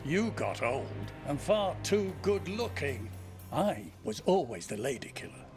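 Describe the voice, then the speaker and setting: A cranky old elf in a fantasy game, wry and teasing. His speech moves up and down with lively swings, and music plays underneath. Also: on the high side, unhurried. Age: older. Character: cranky old male elf, teasing mentor and herd keeper. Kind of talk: video game dialogue acting.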